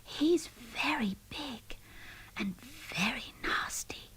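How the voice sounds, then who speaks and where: A woman voicing a gentle, frightened magic harp from a storybook, in a hushed, whispery, drawn-out voice. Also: sits high, lively intonation, pitched above the performer's own voice, heavily acted. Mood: fearful, urgent. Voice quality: whispery, breathy.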